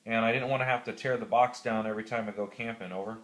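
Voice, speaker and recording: An American man talking casually on amateur radio, explaining methodically and haltingly, with radio procedure words. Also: fairly low pitch, fairly even intonation, heavy background noise. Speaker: classroom teacher.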